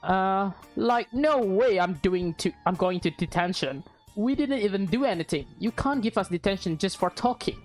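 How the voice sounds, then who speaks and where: A woman's voice: mid-range voice, lively intonation, moderate pace, darkish tone, faint music behind, close to an everyday voice. Woman doing a cheeky, dramatic teenage schoolgirl. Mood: defiant. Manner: defiant, dramatic. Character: cheeky teenage schoolgirl, scheming and easily panicked.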